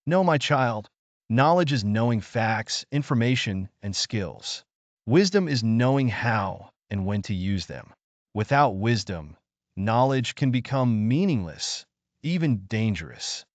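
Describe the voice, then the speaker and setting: Man voicing a gentle old sage, lively intonation. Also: on the low side, a little slow, no echo. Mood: patient, serious. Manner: soft-spoken, thoughtful, teacherly. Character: gentle wise old village man, patient and kindly.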